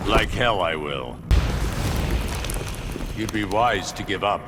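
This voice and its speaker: An actor playing a grim, stern masked vigilante in a video game, speaking in a terse, commanding way. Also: male voice, lively intonation, takes it slowly, heavy background noise. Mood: stern challenge. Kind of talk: video game fight intro dialogue. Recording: loud booming game ambience behind.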